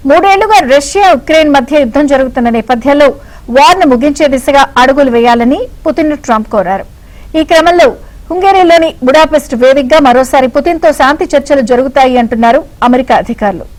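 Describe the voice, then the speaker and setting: A woman's voice: high-pitched, quick pace, poor audio. A woman news anchor reading scripted headlines.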